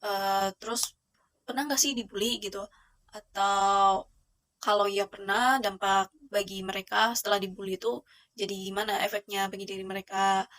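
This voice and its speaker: A woman's voice: mid-range voice, steady tone, normal speed, a bit bright. A woman acting as interviewer, asking a string of loosely phrased questions.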